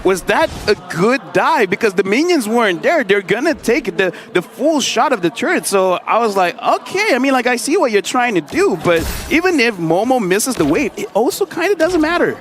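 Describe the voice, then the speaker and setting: A man's voice: very high-pitched, sing-song, normal speed, few pauses, fairly bright tone. A man giving conversational analysis as an esports commentator during a live game, acting out what players might think. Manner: conversational, voices players' imagined thoughts.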